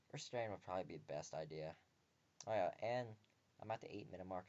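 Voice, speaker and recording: A man reading a visual novel aloud and breaking off for crude, joking asides, sometimes muttered quietly. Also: fairly low pitch, highs cut off.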